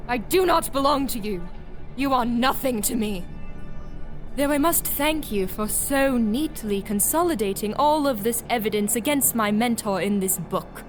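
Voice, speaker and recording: A cold young villainess of audio drama, acted by a woman, with a slightly high voice. She is embittered and scornful, measuring out her words with deliberate contempt. Music plays clearly behind her. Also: lively intonation, natural voice. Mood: scornful triumph.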